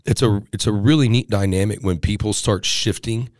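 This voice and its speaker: A man with a deep, low voice, talking earnestly and casually. He restarts his sentences and checks in with "right?" a lot. The sound is close and dry. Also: moderate pace, darkish tone, dry recording. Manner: earnest, many restarts, tags lines with right?. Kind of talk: casual chat.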